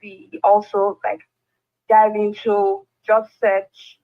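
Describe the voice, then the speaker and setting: A woman's voice: mid-range voice, monotone, takes it slowly. A woman hosting an online workshop, introducing the session hesitantly with many fillers. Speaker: workshop host. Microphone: video call. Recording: tinny phone-like call audio.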